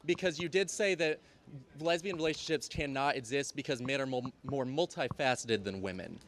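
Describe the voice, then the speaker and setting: Polite young man, medium-pitched voice. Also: a little fast, a bit bright. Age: young adult. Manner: polite, conversational. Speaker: student questioner at a debate. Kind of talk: unscripted debate questioning.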